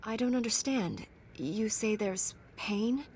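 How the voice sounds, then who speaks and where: Earnest, mid-pitched heroic warrior woman. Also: expressive, takes it slowly, a little mellow, a little hiss or hum. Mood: concerned. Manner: earnest, composed. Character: principled, compassionate young female Jedi warrior, calm and resolute. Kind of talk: video game character acting.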